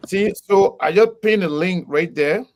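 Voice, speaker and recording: A man hosting a casual live interview, animated and quick to laugh, often repeating his words. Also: sits high, slight background noise. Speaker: host.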